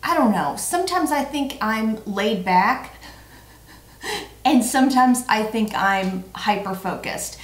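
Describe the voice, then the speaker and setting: A woman in her forties co-hosts a casual vlog in a roomy, echoing space. Her voice sits fairly high. She talks playfully and leans on repetition for emphasis. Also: expressive, slow. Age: 40s. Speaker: vlog co-host. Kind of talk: casual chat, answering tag questions. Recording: roomy, echoey sound.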